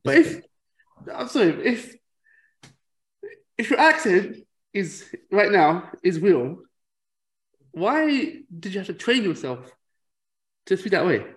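Slightly high-voiced male podcast host. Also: big pitch swings, slow, long pauses, poor audio. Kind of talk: casual interview chat.

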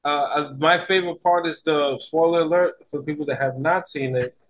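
A man chatting casually as a podcast guest, excitable and playful, with slangy talk. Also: fairly high pitch, moderate pace, rough recording. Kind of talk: casual chat.

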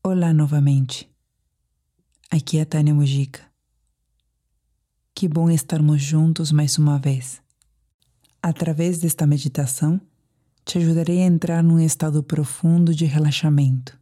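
A woman with a Brazilian accent guides a sleep meditation. Her voice sits low for a woman and has a dark, relaxed tone. She phrases things deliberately and soothingly, with gentle pauses.

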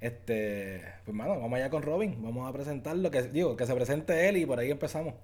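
A man's voice: mid-range voice, lively intonation, brisk, a little mellow. A man with a Puerto Rican accent co-hosting a podcast, chatting casually and agreeably, often repeating his phrases. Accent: Puerto Rican Spanish. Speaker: podcast co-host. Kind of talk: casual chat.

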